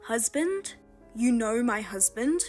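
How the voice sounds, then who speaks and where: Woman voicing a betrayed older sister, cold, restrained, flat delivery, fairly high voice. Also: slow pace, faint music behind. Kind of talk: narrated drama voice-over.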